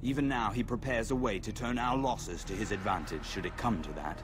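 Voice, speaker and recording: Man acting as calm conspiring officer, somewhat deep, clipped, commanding, game cutscene. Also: fairly quick, music in the background, close to an everyday voice. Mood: assured, secretive. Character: secretive, commanding Templar-like officer, calm and assured.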